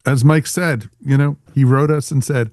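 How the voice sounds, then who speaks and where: A man's voice: mid-range voice, fairly even intonation, normal speed, darkish tone, dry recording, clean studio sound. A man hosting a podcast in a casual, chatty, informal way. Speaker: podcast host.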